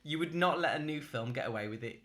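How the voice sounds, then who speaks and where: A man co-hosting a casual podcast chat about films, talking in an animated, opinionated and exaggerating way. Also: a little high, fast talker. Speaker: podcast co-host. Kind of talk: casual group film chat.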